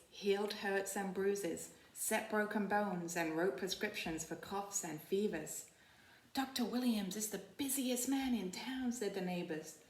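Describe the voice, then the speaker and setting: Lady teacher doing storytime, kinda low n gravelly, reads with expression and chatty asides, echoey room. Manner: explains to young listeners in simple, informal words. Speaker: teacher reading a picture book aloud. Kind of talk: expressive read-aloud storytelling with casual asides. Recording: roomy, echoey sound.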